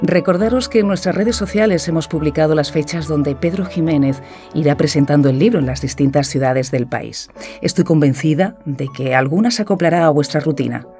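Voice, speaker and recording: A woman with a Peninsular Spanish accent playing a gentle, sympathetic radio interviewer in a scripted audio drama. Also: deep voice, brisk, natural voice. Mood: appreciative. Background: music.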